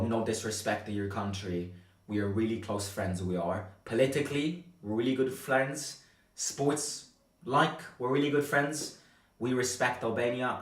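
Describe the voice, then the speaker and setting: A man hosts a live football fan stream in a conversational, halting way, often doubling back on his words. His mid-pitched voice sits some way from the microphone in an echoey room. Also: a bit bright. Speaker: fan channel host and streamer. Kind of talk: live-stream football chat, reading viewer comments. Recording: roomy echo, distant from microphone.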